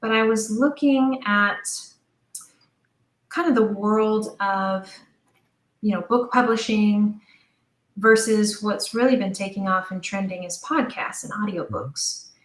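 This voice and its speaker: A middle-aged woman chatting casually as an interview guest, telling stories in an animated way and acting out bits of quoted dialogue. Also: mid-range voice, slow pace. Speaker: interview guest, audio drama creator. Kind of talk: casual conversational storytelling. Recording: roomy, echoing sound.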